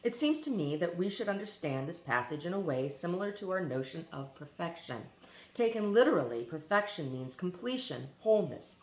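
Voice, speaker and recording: A woman reading a philosophy essay aloud in a deliberate, emphatic way, now and then stumbling on foreign names. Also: on the low side, big pitch swings, darkish tone. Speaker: reader. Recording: echoey room.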